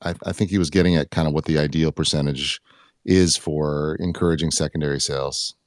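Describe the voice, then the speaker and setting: A man with an extremely low voice talks in a casual online panel. His manner is informal and slangy, a little self-effacing as he puts his points and questions. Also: fairly even intonation, fairly quick, no echo, clean studio sound. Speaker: panel participant asking a question. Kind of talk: casual chat.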